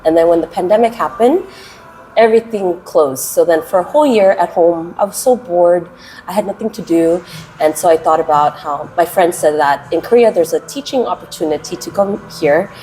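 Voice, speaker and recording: A woman from the United States in her twenties, answering interview questions casually and with energy; she speaks with a naturally loud voice that she keeps held down. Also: on the low side, poor audio. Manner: animated, chatty, drawn-out words. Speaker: interviewee, English teacher.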